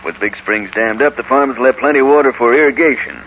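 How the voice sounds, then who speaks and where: A man's voice: mid-range voice, quick pace, lightly acted. An American man acting a curt, arrogant, scheming land developer in a 1950s radio western. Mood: smug. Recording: muffled, noisy old radio broadcast.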